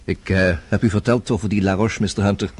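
An actor playing a brash, hot-tempered journalist who talks bluntly and sardonically in a radio drama. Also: male voice, on the low side, steady tone, fast talker, darkish tone, slightly rough. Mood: uneasy.

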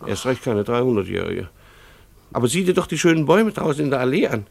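A harried family man in a radio play, a schoolteacher with a slightly gravelly, mid-pitched voice. He speaks earnestly and wryly, choosing formal words with pedantic care. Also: very animated, fast talker, darkish tone. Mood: wry, apologetic. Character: Hans, harried schoolteacher husband and father, earnest and wry. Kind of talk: German radio play dialogue.

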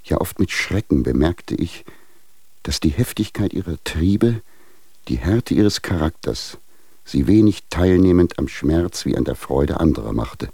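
An actor in a German radio drama playing a stern, sanctimonious guardian, speaking in a pompous, formal, moralizing way with a gravelly bass voice. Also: male voice, expressive, a little slow, dark tone, no echo, full character voice. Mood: stern condemnation. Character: stern, sanctimonious guardian denouncing his wayward ward.

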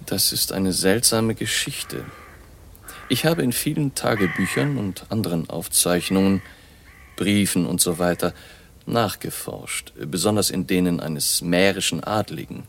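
Casting: an eerie scholarly baron, gravelly and low-pitched, measured and grave, radio play. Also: male voice, lively intonation, slight background noise, heavily acted. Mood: grave, narrating. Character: baron, eerie learned vampire researcher, grave and deliberate. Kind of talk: radio drama acting.

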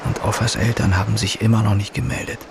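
A man's voice: lowish voice, fairly even intonation, quick pace, vocal fry, very noisy. An actor playing a brooding male detective, musing introspectively as he narrates his thoughts in a radio drama.

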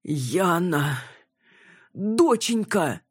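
A woman's voice: very deep voice, moderate pace, husky, dry recording, studio quality. A woman voicing a doting, boastful, effusive older father in an audiobook. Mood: relieved, fond. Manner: effusive, self-satisfied.

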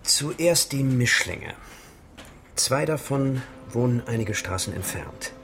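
A sardonic, grumpy amateur sleuth with a mid-pitched voice that moves widely up and down. He reports in dry, clipped phrases in a radio crime play, over noticeable background noise. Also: animated, slow pace, fairly bright tone. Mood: dry reporting. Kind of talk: German radio crime drama acting. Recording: noisy ambience behind the voice.

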